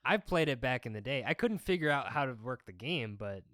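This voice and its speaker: Male podcast panelist, medium pitch, relaxed conversational style, very dry sound. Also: brisk, no echo. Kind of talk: casual film podcast chat.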